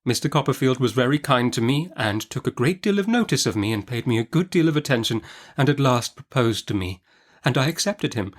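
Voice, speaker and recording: A man's voice: mid-range voice, animated, brisk, voice pushed up, natural voice. A man voicing a meek, tearful young widowed woman in a classic novel audiobook, with a faltering, plaintive and deferential manner. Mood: wistful, tearful.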